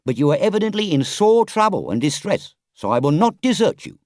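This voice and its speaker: A man's voice: on the high side, big pitch swings, fairly quick, a little mellow, no room sound, studio quality, pitched above the performer's own voice. A man doing a kind-hearted engine driver. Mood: stern. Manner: earnest, urgent.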